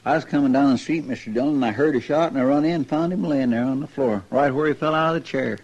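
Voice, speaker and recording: Man playing an earnest deputy, rural American twang, husky, simple, deferential, dull old radio western sound. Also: medium pitch, fast, darkish tone, close to an everyday voice. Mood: earnest, agitated. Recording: dull vintage radio recording.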